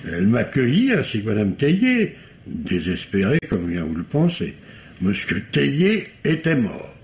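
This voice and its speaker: An actor playing a solemn, wordy male doctor in a vintage radio mystery, with pompous, deliberate, literary delivery. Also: medium pitch, wildly swooping pitch. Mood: grave, precise. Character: formal, verbose, solemn male country doctor. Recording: dull, noisy old radio recording.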